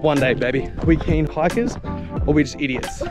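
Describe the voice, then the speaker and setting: A man talks casually into a handheld camera outdoors on a mountain trail. His voice sits slightly high, and he jokes sarcastically between steps. Music plays clearly behind him. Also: moderate pace. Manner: joking, sarcastic. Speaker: travel vlogger. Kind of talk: casual on-camera chat while hiking.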